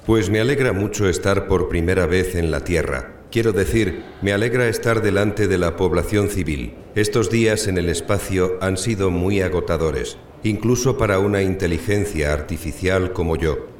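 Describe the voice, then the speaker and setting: Echoey, very low-voiced spaceship AI played by a man for audio drama; calm, eloquent, measured and courteous. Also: close to an everyday voice. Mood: courteous, wry. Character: calm, eloquent male artificial intelligence aboard a spaceship. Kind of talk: scripted audio drama press conference. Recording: echoey room with faint noise.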